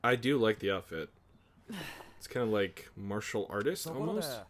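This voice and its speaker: A man in a casual reaction chat, mixing excitable exclamations with offhand remarks. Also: fairly low pitch, moderate pace, a crowd in the background. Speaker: anime reaction host. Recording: prominent music behind the voice.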